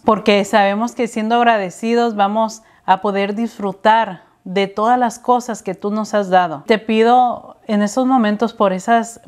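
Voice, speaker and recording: A woman leads a spoken prayer in an earnest, petitioning tone. Her voice sits in a middle pitch, and she asks and pleads steadily, phrase by phrase. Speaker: prayer leader.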